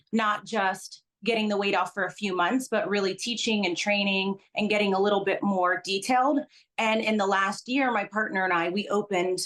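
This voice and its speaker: A woman's voice: mid-range voice, a bit bright. A Belgian-born woman, a business owner, earnestly explaining her work in a casual interview over a video call.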